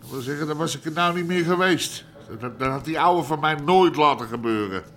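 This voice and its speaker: An actor playing a middle-aged, grumbling old-school underworld boss in a radio drama, with an Amsterdam accent and blunt street talk. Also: male voice, a little high, a little fast, fairly bright tone, faint music behind. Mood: musing, aggrieved. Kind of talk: naturalistic radio drama acting.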